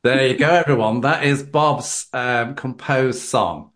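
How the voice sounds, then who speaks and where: A man's voice: medium pitch, a little slow, fairly bright tone. A man hosting a conversational interview, putting questions to his guest. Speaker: interviewer, host.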